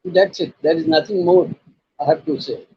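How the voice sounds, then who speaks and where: An older man with an Indian accent and a raspy voice, giving a formal, emphatic legal talk and quoting judgments deliberately. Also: on the high side, huge pitch swings, no room sound, muffled recording. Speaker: lawyer and channel host.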